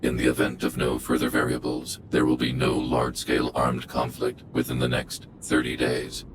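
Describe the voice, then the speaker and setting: An actor playing a cold, calculating giant guardian robot in a video game, speaking in a formal, emotionless, machine-like way. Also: male voice, extremely low pitch, completely flat delivery, moderate pace, slight background noise. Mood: cold, analytical.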